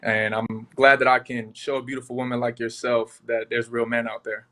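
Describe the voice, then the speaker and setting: A man's voice: mid-range voice, little pitch movement, moderate pace, heavy background noise. A man in his twenties introducing himself earnestly as a contestant on a dating show. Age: 20s. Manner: earnest, polished. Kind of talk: self-introduction.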